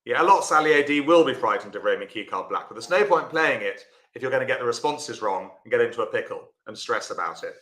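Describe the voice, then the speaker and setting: A man's voice: medium pitch, expressive, fast, hardly any pauses, fairly bright tone, roomy sound. A man teaching a live online lesson, talking through the play and chatting to viewers by name. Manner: chatty, talks to viewers by name while explaining. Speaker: bridge teacher.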